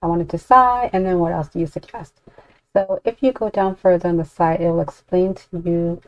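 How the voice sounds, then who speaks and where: A woman giving an unscripted software walkthrough as a tutorial presenter, informally thinking aloud with some hesitation. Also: lowish voice, no echo. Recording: dull, lo-fi, dry close recording.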